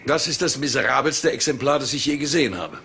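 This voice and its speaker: A gravelly, haughty gentleman suspect. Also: mid-range voice, expressive, fast talker, a little hiss or hum. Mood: curt, disdainful. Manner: curt, condescending, precise. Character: haughty, guarded orchid-loving gentleman, a crime suspect. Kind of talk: German crime drama dialogue.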